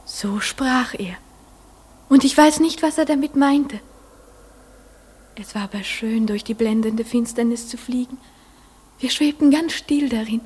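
An actress playing a dreamy, trusting young girl in a radio play, recounting and acting out memories in a soft, breathy voice. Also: on the high side, unhurried, pauses often.

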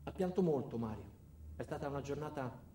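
A man acting a dark-comic monologue as a coldly cruel company man, speaking in a deliberate deadpan. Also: medium pitch. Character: ordinary company man turned coldly cruel, addressing his boss. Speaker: actor performing a solo monologue. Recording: roomy, echoing sound.

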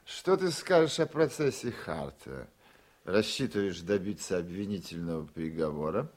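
An actor playing a proud, aging senator in a Russian radio drama, paternal and needy, with drawn-out emphatic speech. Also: male voice, sits low, very animated, a little mellow. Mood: sly, paternal. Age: older.

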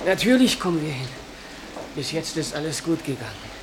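A young soldier who has fled, speaking with urgency and pleading. His voice rises and falls in a lively way. The old radio recording carries clear hiss. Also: on the high side, moderate pace. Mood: urgent. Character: young male Scottish soldier on the run.

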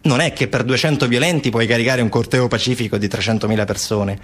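A man's voice: medium pitch, steady tone, quick pace. A man, a stage actor, narrating a monologue in short declarative phrases with emphatic repetition.

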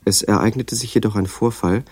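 An actor narrating a radio drama as a measured, matter-of-fact literary storyteller with a gravelly, husky voice. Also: male voice, fairly low pitch, fairly even intonation, a little mellow, no echo. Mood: measured, factual. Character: measured male storyteller, a writer recounting another man's life.

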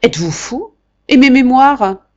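A woman reading an audiobook, voicing an irascible old marquis who shouts and orders his secretary about. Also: sits high, lively intonation, unhurried, lo-fi sound, pitched above the performer's own voice. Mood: angry, imperious. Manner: blustering, imperious, raised voice. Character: irascible old male marquis, tyrannical employer.

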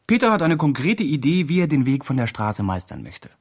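An actor playing an earnest, wordy do-gooder blogger making appeals in a radio drama. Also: male voice, medium pitch, sing-song, a little fast. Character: earnest do-gooder blogger campaigning for a homeless man. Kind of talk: scripted blog-like radio drama narration.

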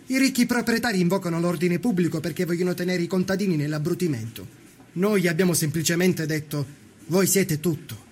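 An actor playing a proud, fervent young Sicilian workers' leader in a dramatised documentary, speaking in declarative, rhetorical phrases. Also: male voice, high-pitched, brisk, a little hiss or hum, natural voice.

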